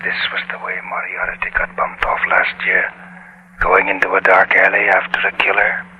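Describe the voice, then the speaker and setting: An actor playing a policeman's fearful, nagging inner voice in a 1950s radio drama, often in a breathy half-whisper. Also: deep voice, completely flat delivery, moderate pace, bright, forceful tone, low-quality recording. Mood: ominous, goading. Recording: faintly hissy old radio broadcast.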